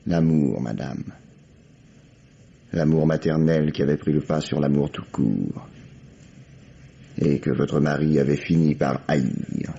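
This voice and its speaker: Police commissioner: very low voice, shrewd, formal, world-weary, deliberate pauses, old French radio drama with muffled sound. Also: male voice, gravelly, mellow, slight background noise, full character voice. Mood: grave. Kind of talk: vintage French radio mystery acting. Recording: dull, band-limited old radio recording.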